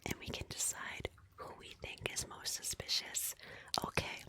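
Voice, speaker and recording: A woman speaking in a breathy whisper, hosting an ASMR roleplay livestream in a softly deliberate, instructive way. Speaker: livestream host of a murder mystery roleplay. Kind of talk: whispered ASMR roleplay narration with audience prompts.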